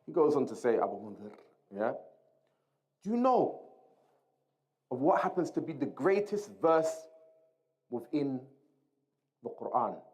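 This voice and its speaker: A man with a medium-pitched voice teaches an Islamic lesson. He explains the text in a didactic way, weaving Arabic quotations into his English. Key terms are repeated for emphasis as he goes. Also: sing-song, a little slow, long pauses, darkish tone. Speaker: religious teacher.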